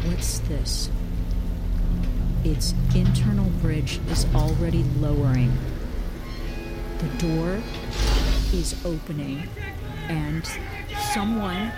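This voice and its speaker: A live TV reporter in an audio drama, measured and slow in her delivery as she calls events on air. Loud music runs underneath. Her pitch sits in a middle range. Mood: tense. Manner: live broadcast commentary, dramatic. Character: female TV news reporter covering a landing. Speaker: news reporter.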